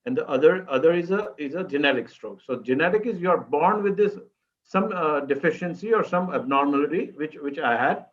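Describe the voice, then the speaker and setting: A man in his fifties with an Indian accent, telling his life story as an interview guest in halting, repetitive phrases. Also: fairly high pitch, expressive. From Mumbai, India. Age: 50s. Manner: halting, repetitive phrasing with frequent you-knows. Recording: dull lo-fi call audio, music underneath.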